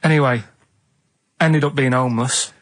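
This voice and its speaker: A man telling a comic story casually on a radio show, in a rambling, vague and halting way. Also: medium pitch, fairly even intonation, fairly bright tone, no echo, studio quality.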